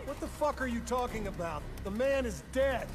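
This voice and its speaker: A gung-ho, foul-mouthed fighter pitched very high and swinging widely. He is brash and taunting in action game combat style, with loud music around him. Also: expressive, moderate pace. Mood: brash, defiant.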